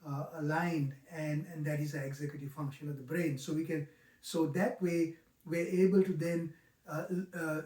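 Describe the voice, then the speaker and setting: A man's voice: fairly high pitch, warm tone. Older Sri Lankan-accented man, echoey and distant. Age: 60s. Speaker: mindfulness teacher. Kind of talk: reflective explanatory talk. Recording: roomy, echoing, distant microphone.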